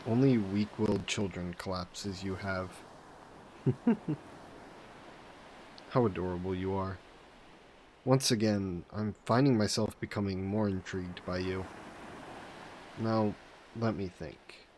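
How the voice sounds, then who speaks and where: Low-voiced menacing, flirty sea monster played by a man. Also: darkish tone, a little hiss or hum. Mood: amused intrigue, then calm menace. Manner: measured, formal, coolly threatening wording. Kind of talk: scripted roleplay audio drama, intimate monologue.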